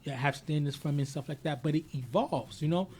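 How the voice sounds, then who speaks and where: A man, born in the United States to Haitian parents, co-hosting a podcast in an animated, emphatic way, often repeating words as he starts a thought. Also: on the high side, brisk, slight background noise. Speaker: podcast co-host. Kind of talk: casual podcast discussion.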